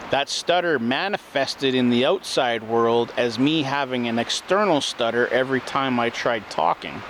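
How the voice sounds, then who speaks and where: Man, mid pitch, earnest self-help talker, slow repetitive delivery, recorded outdoors with faint traffic behind. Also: fairly bright tone, poor audio. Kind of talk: spoken self-help talk.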